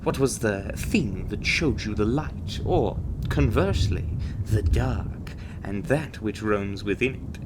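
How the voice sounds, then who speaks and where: A man's voice: on the low side, expressive, takes it slowly, background noise. A man playing a suave, condescending, secretive monster-hunting agent in a scripted ASMR roleplay, with a theatrical drawl and pet names for the listener. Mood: probing, confiding. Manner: theatrical, drawling, calls listener darling and doll. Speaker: voice actor in an audio roleplay.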